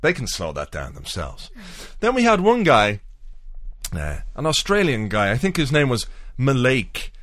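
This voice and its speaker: A man's voice: medium pitch, wildly swooping pitch, a little slow, a bit bright, dry recording. A man hosting a podcast from home late at night, chatting loosely and rambling with lots of fillers and asides. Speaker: podcast host.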